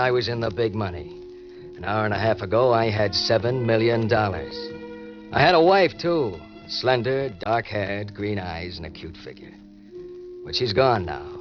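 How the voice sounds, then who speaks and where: An American actor playing a hard-boiled, cocky ex-convict obsessed with money in an old-time radio crime drama, talking in tough slang. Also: male voice, lowish voice, close to an everyday voice. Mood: bitter, wistful. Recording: dull vintage radio recording with music.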